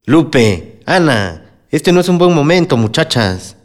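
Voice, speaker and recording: An actor with a Mexican accent playing an evasive, condescending middle-aged small-town mayor in a radio drama. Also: male voice, fairly high pitch, big pitch swings, slow pace. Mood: dismissive. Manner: officious, dismissive, guarded.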